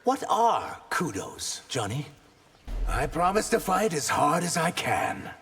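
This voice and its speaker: A sincere, guarded young lizard-man fighter from a video game. His fairly high voice moves up and down a lot, echoing in a long reverb over some background noise. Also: sing-song, takes it slowly, fairly bright tone, full character voice. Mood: earnest, guarded. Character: earnest young reptilian shapeshifter warrior, wary and sincere.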